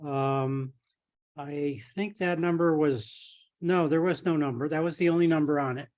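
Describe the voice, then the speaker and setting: A man on a conference call discussing a technical problem casually, with halting, hesitant phrasing. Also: on the high side, steady tone, a little mellow. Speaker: club member asking for technical help. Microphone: telephone or conference call line.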